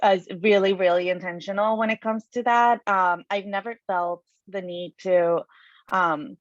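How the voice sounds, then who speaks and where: A woman's voice: mid-range voice, fairly even intonation, a little slow, dry recording. A woman from Colombia, a native Spanish speaker, speaking candidly and hesitantly as a panelist on a video call. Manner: hesitant, many fillers and restarts, candid. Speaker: panelist, senior associate. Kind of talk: casual panel talk. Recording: dull dry video call audio.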